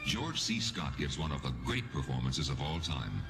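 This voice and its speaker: Male announcer for a vintage-style movie promo, low and highly animated, dramatic scripted read in an echoey mix. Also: moderate pace. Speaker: film advertisement announcer. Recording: echoey archival ad with loud music. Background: loud music.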